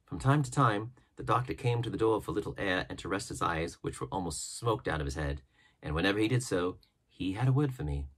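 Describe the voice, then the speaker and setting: Husky low-voiced man reading a classic adventure. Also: lively intonation, fairly quick. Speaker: audiobook narrator. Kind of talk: expressive storybook narration of a classic adventure novel.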